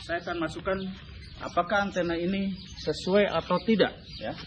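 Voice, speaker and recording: A man's voice: high-pitched, fairly even intonation, normal speed. A man with an Indonesian accent hosting a vlog, giving an informal, instructive hands-on explanation to camera. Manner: instructive, often tagging sentences with "ya". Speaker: vlog host demonstrating his radio gear. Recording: slightly muffled, clearly noisy.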